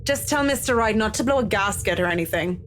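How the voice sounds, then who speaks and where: A woman's voice: mid-range voice, expressive, a little fast, a bit bright, slight background noise, close to an everyday voice. An actress playing a curious young female protagonist in a video game, speaking casually with a wry touch. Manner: casual, wry, slightly hesitant. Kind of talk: voiced video game dialogue.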